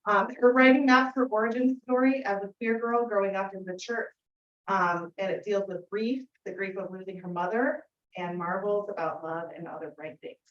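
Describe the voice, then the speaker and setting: A woman's voice: medium pitch, fairly even intonation, normal speed. A woman host introducing a reader to an audience, reading a bio with enthusiasm and frequent fillers. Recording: echoey room, far from mic, dull sound.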